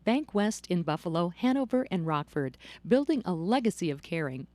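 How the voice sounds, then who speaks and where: An American woman reads a scripted radio commercial. Her voice is mellow and sits at a middle pitch with animated intonation, recorded dry and close. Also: moderate pace, no echo. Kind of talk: scripted ad read.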